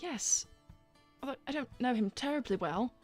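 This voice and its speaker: Woman as regal cartoon princess, high lilting voice, prim, blunt, insistent, music behind. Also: animated, moderate pace, a little mellow. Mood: gracious. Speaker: co-host reading viewer messages. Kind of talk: improvised in-character livestream Q&A.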